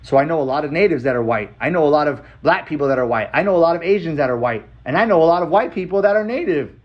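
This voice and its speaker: A man's voice: a little high, animated, racing. American guy telling his story casually, blunt and emphatic, repeats short phrases, sound kinda muffled and lo-fi. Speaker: storyteller sharing his own life.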